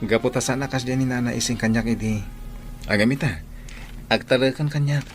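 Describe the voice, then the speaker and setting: A man's voice: mid-range voice, brisk, over music, natural voice. A man with a Filipino accent acting an earnest young suitor in a radio drama, speaking tenderly to his sweetheart. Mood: earnest, hopeful.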